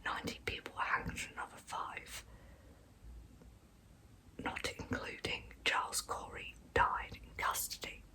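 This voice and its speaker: A woman whispering a true crime story as an ASMR host, hushed throughout. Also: a little slow, long pauses.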